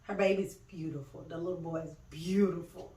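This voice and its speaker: A woman with a raspy voice bantering casually with friends on a podcast, blunt, teasing and emphatic in slangy speech. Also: lowish voice, steady tone, normal speed, warm tone. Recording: roomy echo, distant from mic.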